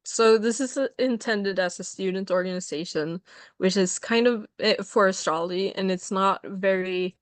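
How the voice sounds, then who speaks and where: A young non-binary student chairing a casual meeting on a video call, talking with many pauses and fillers. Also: medium pitch, no room sound.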